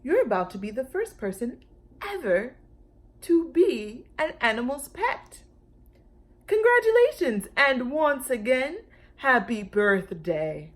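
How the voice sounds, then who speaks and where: A woman voicing a polite, self-assured male brontosaurus in a children's storybook, gently insistent in manner. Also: high voice, very animated, slow pace, pitched above the performer's own voice, heavily acted. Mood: politely triumphant.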